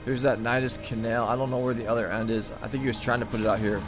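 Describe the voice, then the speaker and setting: A man's voice: medium pitch, flat delivery, fast, music in the background. A man giving live commentary on a real-time strategy match in an easygoing, thinking-aloud way, and he is losing his voice. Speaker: game caster.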